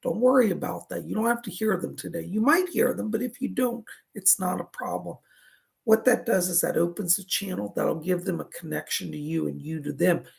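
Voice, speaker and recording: A man with a raspy voice, a psychic medium giving live readings in a soothing, reassuring, chatty way. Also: sits high, brisk.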